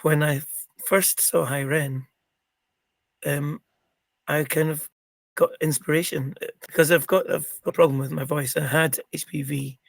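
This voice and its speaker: A middle-aged man with a croaky, gravelly voice, chatting as an interview guest and rambling with many ums and restarts. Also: fairly high pitch, fairly even intonation, measured pace, no echo. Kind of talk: casual interview chat.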